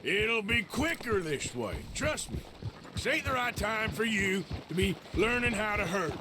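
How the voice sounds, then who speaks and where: An actor playing a gruff, dry, bossy American outlaw cowboy in a western video game, speaking in curt commands with a drawl. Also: male voice, high voice, sing-song, measured pace, background noise. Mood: firm, bossy.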